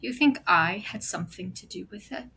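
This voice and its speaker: An actress playing a blunt, sarcastic second-in-command in an audio drama, speaking emphatically. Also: female voice, fairly low pitch, brisk, gravelly, background noise. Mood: indignant, sarcastic.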